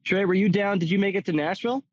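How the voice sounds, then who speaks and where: A man with a fairly high voice hosts a casual chat, friendly and curious as he asks his guest questions. The audio sounds dull and lo-fi. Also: fairly even intonation, fast. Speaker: host.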